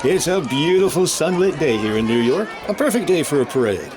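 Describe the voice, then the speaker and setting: Guy playing excitable old-time radio announcer man, highish voice swooping all over, jovial, stammery, crowd noise behind. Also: a little fast. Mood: excited. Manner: chuckling, stammering ad-libs, theatrical announcing. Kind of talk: 1950s radio drama live commentary. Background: outdoor crowd.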